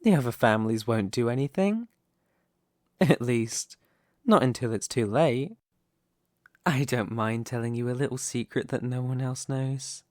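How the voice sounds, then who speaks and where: A man playing a possessive, scheming young mafia boss in an ASMR roleplay, speaking in a deliberate, condescending, theatrical way. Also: medium pitch, dry recording, clean studio sound, natural voice. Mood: smug, threatening.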